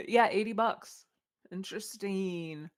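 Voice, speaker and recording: A woman co-hosting a podcast in casual chat, animated and full of questions. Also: mid-range voice, takes it slowly. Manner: animated, inquisitive, laughing. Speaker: podcast co-host.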